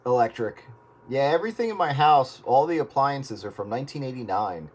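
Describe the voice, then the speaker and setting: A man's voice: medium pitch, animated, fairly quick, a little mellow, breathy edge. A man chatting casually on the radio in a folksy, plain-spoken way. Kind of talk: casual chat over radio.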